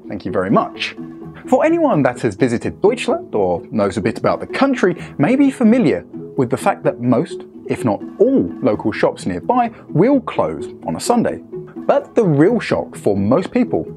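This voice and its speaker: Music plays under a young British man who hosts a scripted explainer with a lively voice that swings high and low. He talks in a playful, emphatic way and slips in wry asides, picked up on a lapel mic in a studio. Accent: British English. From United Kingdom. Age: young adult. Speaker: host. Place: studio in front of a screen. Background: background music.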